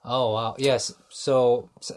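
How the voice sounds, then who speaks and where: A man's voice: medium pitch, flat delivery, slow pace, a little mellow. A man hosting a casual podcast interview who adds short reactions and asks curious follow-up questions.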